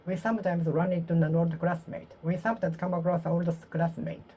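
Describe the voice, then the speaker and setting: A Japanese woman with a Japanese accent practicing English aloud to herself, halting and repeating phrases as she corrects them. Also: fairly low pitch, little pitch movement, mellow, slight background noise. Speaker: vlogger. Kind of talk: solo English speaking practice, talking to herself.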